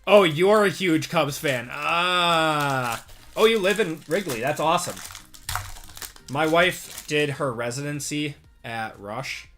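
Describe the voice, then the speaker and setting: A man's voice: fairly high pitch, slow pace, soft background music. A man in his thirties from Wisconsin with a Midwestern accent, hosting a live card break stream and chatting with viewers as he reads out cards. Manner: relaxed, chatty, reads out cards and answers chat. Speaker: sports card break host. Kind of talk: live-stream chat while opening cards.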